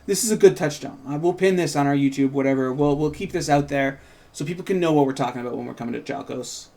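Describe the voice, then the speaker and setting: A man with a fairly high voice talks casually as a co-host. He rambles, starting one thought and breaking into the next as he discusses his writing. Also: fast talker, creaky, darkish tone. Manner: rambling, self-interrupting. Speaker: show host and co-writer. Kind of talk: casual chat about writing a script.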